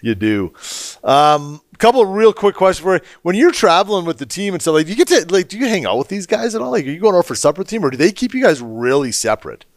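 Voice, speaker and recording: A man's voice: high-pitched, very animated, fast, few pauses, no echo, clean studio sound. A man interviewing casually as a podcast host, with an informal, blokey way of talking. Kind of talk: casual chat.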